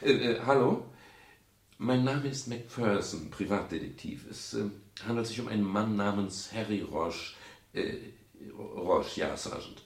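An actor playing a courteous, dryly ironic private detective who also narrates, in a German radio crime play from the 1970s. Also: male voice, on the low side, a little slow, husky, no echo. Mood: polite, hesitant. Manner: hesitant, polite, dryly ironic. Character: wry, courteous male private detective, world-weary but soft-hearted.

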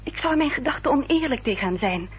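A woman acting an earnest, dutiful young upper-class lady in a radio drama, speaking formally and with careful, measured diction. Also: high voice, lively intonation, breathy edge, full character voice. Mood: pleading, resolute. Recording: dull, noisy old radio recording with hum.

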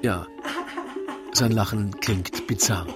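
A man's voice: lowish voice, steady tone, slow pace. A man narrating a comic rhymed story in a wry, theatrical storytelling manner. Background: music.

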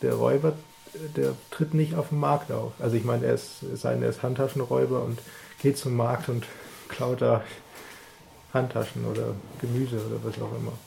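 A man's voice: mid-range voice, level tone, moderate pace, vocal fry, dark tone. A man in an analytical discussion, thinking aloud in an abstract, reflective way.

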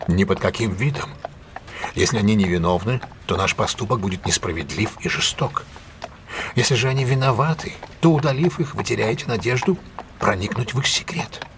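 A shrewd male detective played by an actor with a low voice. He is commanding and precise, setting out each point in measured audio drama style, over clear background noise. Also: close to an everyday voice. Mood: analytical, firm.

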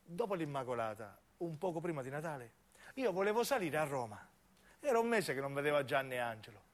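A man's voice: fairly high pitch, big pitch swings, fast. A man with a southern Italian accent narrating a first-person story as a stage actor, in lyrical, repeating phrases.

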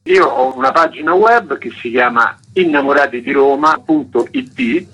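A man's voice: mid-range voice, very animated, normal speed, creaky, a bit bright, slightly rough. A man, an author being interviewed on radio, explaining things with enthusiasm and emphasis over a tinny link. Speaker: interviewed author and researcher of Rome's monuments.

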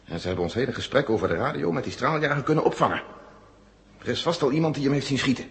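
An actor playing a steady, practical general in a 1970s radio drama, plain-spoken and matter-of-fact. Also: male voice, medium pitch, fast talker, creaky.

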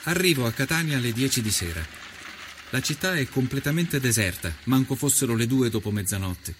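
Man, mid-pitched, scripted dramatic narration, measured, reflective, literary, faint background ambience. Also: animated, a bit bright, slight background noise. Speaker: narrator and storyteller. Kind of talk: scripted dramatic narration of a historical first-person account. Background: ambient soundtrack.

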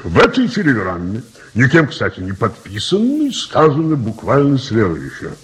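A man's voice: medium pitch, huge pitch swings, moderate pace, slightly rough, full character voice. A man acting a formal, agitated chairman of an international conference in a radio play, emphatic and official. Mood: solemn, official. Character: agitated male conference chairman calling delegates to order.

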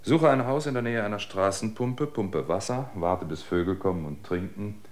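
An actor in a radio drama playing an observant reporter, measured and reflective as he questions and narrates. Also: fairly low pitch, close to an everyday voice. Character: observant male reporter narrating his travels.

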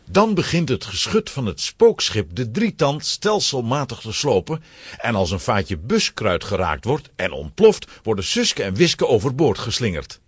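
A man's voice: medium pitch, sing-song, measured pace, husky, dry recording. A man narrating a children's adventure story in a dramatic, scripted storytelling style.

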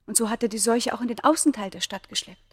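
A woman acting a governor's noble daughter of ancient Egypt, passionately in love, with formal, breathy, poetic delivery in a German radio play. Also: fairly high pitch, quick pace. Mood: anxious, sorrowful.

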